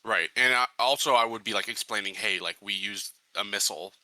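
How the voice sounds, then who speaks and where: A man talks as a player in a tabletop game. His voice is fairly low but very sharp and bright, and he explains things casually with some hesitation. The sound is dry. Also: brisk, no echo, low-quality recording. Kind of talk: casual in-character game chat.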